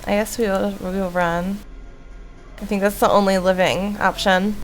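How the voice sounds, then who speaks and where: Woman, game streamer, dramatic read-aloud, irreverent asides, mid-pitched voice, soft background music. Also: flat delivery, normal speed. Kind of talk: reading a horror visual novel aloud with casual asides. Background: faint music.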